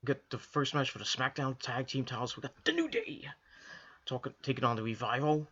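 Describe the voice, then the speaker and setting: A hoarse man from the Northeastern US, coming down with a cold, rambling casually through a wrestling review with lots of restarts and fillers. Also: medium pitch, a little fast, pushed and bright, lo-fi sound. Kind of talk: casual solo commentary to camera.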